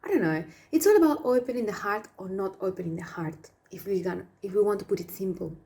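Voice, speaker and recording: A woman from Argentina with an Argentine Spanish accent, a Spanish native speaker giving a spiritual message to viewers, speaking softly and dropping into whispers on some words. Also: medium pitch, lively intonation. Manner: slow, soft, drops to whispers on some words. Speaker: spiritual channel.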